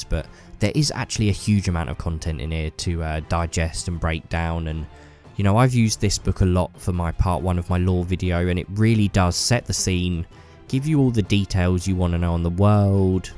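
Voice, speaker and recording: Deep male vlogger, stuffy cold, enthusiastic and chatty. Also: darkish tone. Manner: enthusiastic, rambling with fillers. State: bunged up with a cold. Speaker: YouTuber. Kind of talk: casual hobby vlog talk.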